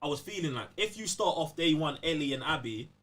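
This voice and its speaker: A London man co-hosting a casual gaming podcast. His fairly high voice swings around with a lot of animation, and his talk is slangy and emphatic. He sounds a little distant from the microphone. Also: lively intonation, fairly quick, fairly bright tone. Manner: slangy, emphatic, repeatedly checking the listener follows. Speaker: podcast co-host. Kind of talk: casual game review chat.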